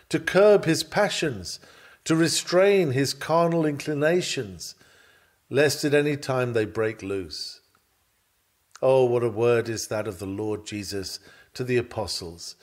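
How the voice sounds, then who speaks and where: A man reads a written sermon aloud in a measured, earnest, rhetorical manner. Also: medium pitch. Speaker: reader of a sermon.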